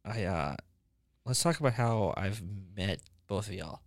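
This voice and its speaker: A man's voice: lowish voice, animated, a little slow, no room sound, clean studio sound. A man, hosting a casual podcast chat and rambling with many restarts. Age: 20s. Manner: rambling, restarts often, laughs easily. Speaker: podcast host.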